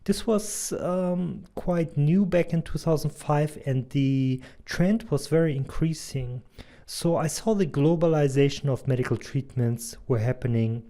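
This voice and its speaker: A man in his thirties with a German accent, giving an earnest, measured presentation as a doctor and advertising consultant. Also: a little high, dark tone. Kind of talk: scripted presentation voice-over.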